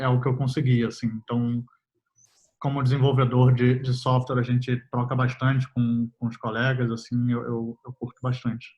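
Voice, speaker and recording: A young man, a software developer talking casually in an interview, relaxed and full of fillers, laughing easily. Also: mid-range voice. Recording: slightly muffled audio.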